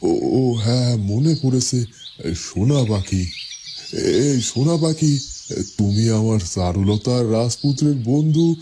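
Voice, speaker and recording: A man's voice: mid-range voice, expressive, unhurried, noisy background, natural voice. A man voicing an earnest, middle-aged village headman and father in a cartoon fairy tale, musing and hesitant. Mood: puzzled. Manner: musing, hesitant, talks to himself. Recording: loud music behind.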